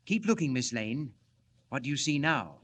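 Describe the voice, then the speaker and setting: A man's voice: mid-range voice, big pitch swings, background noise, close to an everyday voice. Man acting a proud, formal scientist inventor. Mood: assured, explanatory. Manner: formal, measured, explanatory. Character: earnest, proud, secretive male scientist inventor. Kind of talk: 1940s radio acting.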